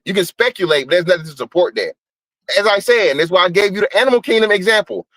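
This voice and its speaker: A man's voice: high-pitched, fairly bright tone, dry recording, low-quality recording. A man on a livestream panel, arguing his point bluntly and insistently, swearing freely and repeating himself with emphasis. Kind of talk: heated debate on a livestream panel.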